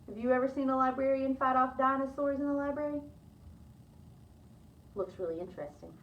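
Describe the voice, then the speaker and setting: A woman's voice: sits high, fairly quick, recorded from a distance. A woman reading a children's storybook aloud, with chatty asides to young listeners, in an echoey room with slightly dull sound.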